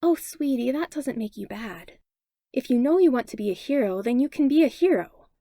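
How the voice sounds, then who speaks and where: A woman voicing a protective adult mother who speaks gently and soothingly to a child. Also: high-pitched, expressive, normal speed, no room sound, studio quality. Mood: gentle.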